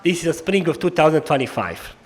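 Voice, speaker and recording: A man from former Yugoslavia with a Slavic accent gives a formal public lecture as a historian, haltingly, with frequent hesitations and repeated words. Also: a little high, very animated. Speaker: historian giving an annual lecture.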